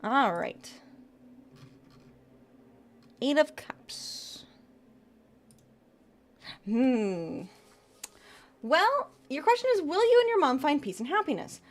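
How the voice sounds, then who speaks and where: A woman's voice: high-pitched, big pitch swings, takes it slowly, long pauses. A woman VTuber streamer chatting casually with her viewers and giving tarot readings. She laughs often and trails off and restarts as she talks.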